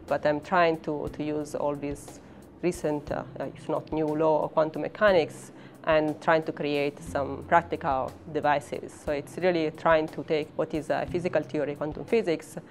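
A woman's voice: deep voice, little pitch movement, moderate pace, faint music behind. A woman in her thirties with an Italian accent, a professor speaking hesitantly in an interview about her work. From Italy. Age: 30s. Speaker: professor and research scientist.

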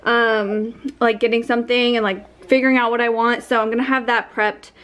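A woman's voice: on the high side, flat delivery, fairly quick, a bit bright. A young woman chatting casually to camera in a vlog, upbeat and full of fillers, filmed at arm's length in her car and home kitchen. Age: young adult. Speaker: vlogger. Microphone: handheld camera at arm's length.

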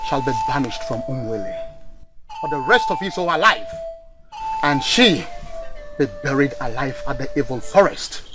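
An actor with a Nigerian accent playing a stern, pompous village shrine priest, delivering ritual pronouncements with dramatic pauses in a film drama. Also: male voice, a little high, poor audio. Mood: stern, final.

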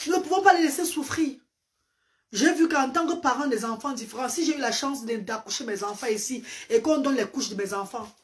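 A woman, a Medumba speaker, giving a fervent, preacherly live-stream address full of emphatic repetitions. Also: a little high. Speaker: live-stream host and parent advocate. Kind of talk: impassioned social-media live address. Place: small indoor room, seated on a sofa. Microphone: phone camera at close range.

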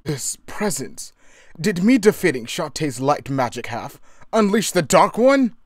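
A man's voice: a little high, very animated, measured pace, no echo, clean studio sound. A man playing a petulant, pent-up sorcerer villain in a dramatic, exclamatory manner. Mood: agitated, dramatic.

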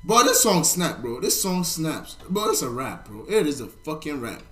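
A young man in his twenties reacting to music from his bedroom, loud and excitable, full of slang, swinging between shouting and muttering. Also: on the high side, normal speed. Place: bedroom, indoors, gaming chair.

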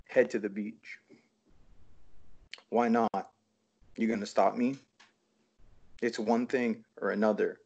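A man's voice: fairly low pitch, gravelly. A man from Toronto, a poet, reading aloud over a dull, lo-fi video call. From Toronto, Canada. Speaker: poet reading own work. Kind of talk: poetry reading.

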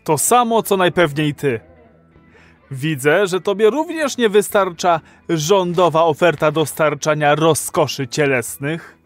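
A persuasive rebel in an audio drama, high-voiced and very animated. He talks slowly and emphatically, urging his friend to act. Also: soft background music. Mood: challenging.

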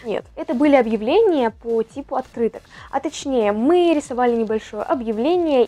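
A teenage girl chatting to camera from her bedroom on a lapel mic, lively and talking with her hands. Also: fairly high pitch. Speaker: vlogger. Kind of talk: upbeat vlog address.

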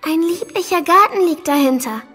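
A woman's voice: very high-pitched, expressive, normal speed, bright, forceful tone, faint music behind, full character voice. A woman playing a curious, chatty, plucky little girl in a German audio drama, wondering aloud to herself.

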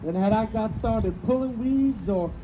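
Halting folksy storyteller Tom, acted by a man. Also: high voice, muffled recording, background noise. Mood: fond, reminiscing. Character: Tom, lame enslaved man fondly recalling childhood. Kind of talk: live dramatic stage reading. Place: outdoor stage, recorded from the audience. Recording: outdoor audience recording with crowd.

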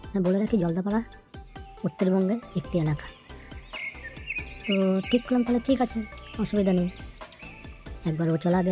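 A woman narrates a scripted story in the first person. Her pitch is average for a woman, and clear music plays behind her with birds and nature sounds. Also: dark tone.

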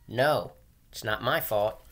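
A naughty little storybook boy, acted out by a man, loud and emphatic. The words are stretched out slowly and defensively, with a gravelly edge. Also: on the low side. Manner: drawn-out, emphatic storybook delivery.

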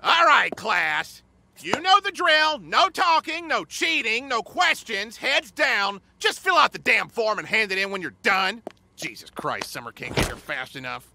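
Cartoon schoolteacher, male: very high, gravelly, theatrical, fed up and scolding. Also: big pitch swings, a little slow, pushed and bright, a little hiss or hum, full character voice. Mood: irritated. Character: exasperated mustached male high school teacher, easily smitten. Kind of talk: animated comedy game acting.

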